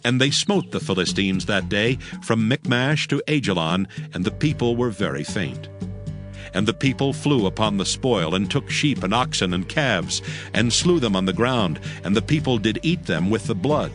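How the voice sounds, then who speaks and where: A man's voice: on the low side, expressive, moderate pace. A man narrating a dramatized Bible in a solemn, formal storytelling style. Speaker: scripture narrator. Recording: dramatic music behind the narration.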